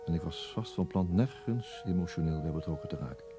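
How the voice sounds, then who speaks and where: An actor playing a weary, brooding police detective in a radio play monologue, deliberate and resigned, with a husky, gravelly voice. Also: male voice, deep voice, over music.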